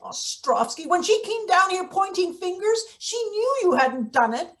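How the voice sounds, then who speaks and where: An actress playing a bitter, paranoid, scheming maintenance worker in a play reading, halting and sardonic. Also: female voice, very high voice, sing-song, heavily acted. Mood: suspicious, bitter. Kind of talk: live table read of a satirical stage play.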